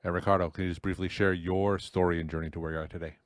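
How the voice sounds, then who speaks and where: A man's voice: low voice, flat delivery, rapid-fire, no echo, clean studio sound. A man hosting a podcast, reading a prepared intro and putting questions to guests. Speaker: podcast host. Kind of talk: scripted intro and interview questions.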